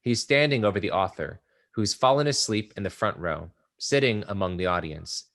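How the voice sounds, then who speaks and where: A man with a fairly low voice narrates stage directions at a table read. He delivers scripted description of the action between the actors' lines. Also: expressive, normal speed, gravelly, fairly bright tone.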